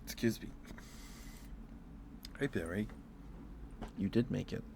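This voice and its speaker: Low-voiced man chatting on an ASMR stream. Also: takes it slowly, gravelly, background noise. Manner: hesitant, rambling, musing aloud. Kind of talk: casual ASMR livestream chat.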